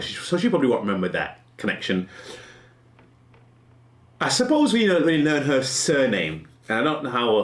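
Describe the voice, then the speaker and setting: A man in his forties musing casually on a dating question in a rambling, self-amused way, restarting his sentences often. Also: fairly low pitch. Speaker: vlogger. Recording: echoey room.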